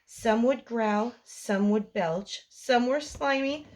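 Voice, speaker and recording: A woman reading a picture book aloud to young children as a storytime host, in an expressive sing-song delivery. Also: on the high side, slow pace.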